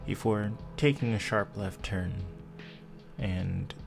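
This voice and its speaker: A man with a creaky voice working as game master, narrating a tabletop roleplaying game in a measured, descriptive way. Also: lowish voice. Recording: faint background music. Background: soft music.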